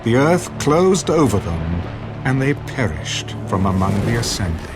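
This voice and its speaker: Casting: male narrator for audio scripture drama, mid-pitched, with music underneath. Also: sing-song, a little slow.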